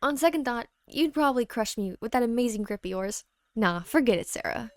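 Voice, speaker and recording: A woman's voice: a little high, lively intonation, brisk, dry recording. A woman voicing a cocky, teasing teenage boy, a loyal best friend in a game, with brash, slangy delivery.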